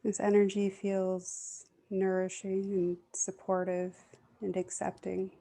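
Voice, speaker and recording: A woman leading a guided meditation in a gentle, soothing, unhurried way, leaving long pauses. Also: medium pitch, completely flat delivery, creaky.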